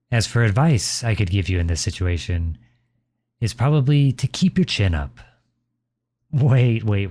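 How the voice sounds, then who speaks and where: A man acts out a middle-aged dad in an ASMR audio roleplay. His voice sits low and he takes his time with each phrase. The manner is tender and reassuring, that of a caring father comforting his child up close. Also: full character voice. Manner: tender, reassuring, softly chuckling. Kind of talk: ASMR roleplay, intimate acted monologue.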